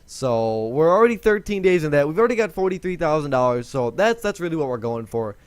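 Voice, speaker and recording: A young man gives chatty, rambling commentary while he plays a game, often stopping to correct himself. His voice sits a little high for a man and swoops up and down a lot, though he says it is lower than usual today. The sound is close and dry. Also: sing-song, quick pace, clear, resonant tone, no echo. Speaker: gaming video host. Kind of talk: casual let's-play commentary.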